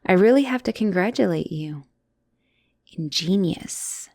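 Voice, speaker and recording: A woman's voice: fairly low pitch, expressive, unhurried. A woman playing an obsessive, lovestruck asylum patient in a dramatic roleplay audio, theatrical and doting with a menacing edge. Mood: smug, hushed. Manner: theatrical, doting, swinging between gushing and hushed menace.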